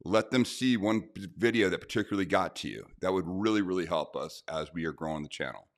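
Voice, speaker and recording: A man's voice: low-pitched, quick pace. A male host wrapping up an interview segment in an upbeat, promotional manner. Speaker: show host. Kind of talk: interview host and channel outro.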